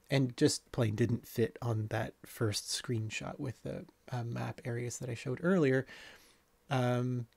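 A man in his thirties casually narrating a tour of his city-builder game, relaxed and rambling with plenty of ums and uhs. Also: mid-range voice, creaky, no echo. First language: not French. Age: 30s.

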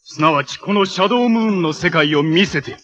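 An actor playing a menacing armored cyborg villain in a 1980s Japanese superhero TV show, making imperious, theatrical proclamations. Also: male voice, fairly high pitch, fairly quick, fairly bright tone, muffled recording, natural voice. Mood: taunting, cruel.

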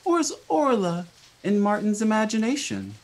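An actor playing a sinister, gleeful horror show host, theatrical and teasing in 1940s radio style. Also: male voice, high-pitched, huge pitch swings, moderate pace, heavily acted, poor audio. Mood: ghoulishly teasing.

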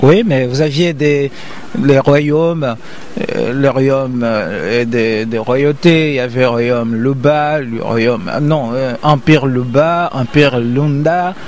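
A man's voice: mid-range voice, takes it slowly, a little mellow, a little hiss or hum. An older man, a professor, talking as an interview guest in an emphatic, lecturing way with frequent repetitions.

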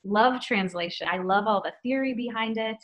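A woman's voice: fairly high pitch, moderate pace. A young woman, a writer and professor, talking in an eager, casual way on a video call from home. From United States. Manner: eager, casual, uses "like" often. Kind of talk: conversation over video call. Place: home room.

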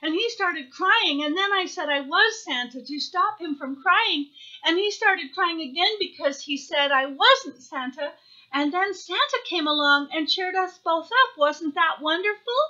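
A woman's voice: high-pitched, very animated, slow pace, bright, forceful tone, dull audio, voice pushed up, heavily acted. A woman voicing a small, earnest baby owl for a storybook, indignant and emphatic, stretching out stressed words in protest. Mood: upset, earnest.

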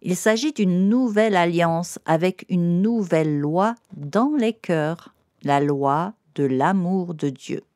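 A woman with a fairly low voice narrates a children's lesson at a slow pace. She explains in simple, didactic terms, speaking directly to a young listener. The sound is dry and close. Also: warm tone, no echo.

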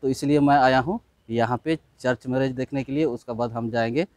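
A man's voice: mid-range voice, fairly even intonation, fairly quick, darkish tone. A man, giving casual vlog commentary to his viewers. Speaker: vlogger. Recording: music behind the voice.

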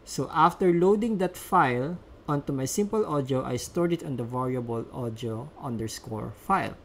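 A man's voice: mid-range voice, animated, moderate pace, vocal fry, slight background noise. A man presenting a step-by-step instructional screencast as a tutorial narrator.

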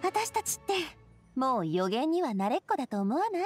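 A woman's voice: high voice, big pitch swings, moderate pace, music in the background. A woman playing a confident, cheerful young leader in an anime game, breezy and self-assured. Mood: upbeat, confident. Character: Navia, confident cheerful young woman, boss made fairytale king. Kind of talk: Japanese anime game voice acting.

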